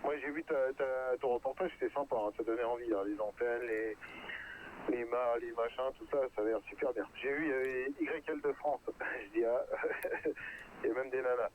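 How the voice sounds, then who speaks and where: A man's voice: medium pitch, fairly even intonation, fairly quick, phone-quality sound. A man chatting casually as an amateur radio operator, in short conversational turns. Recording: slightly noisy radio link.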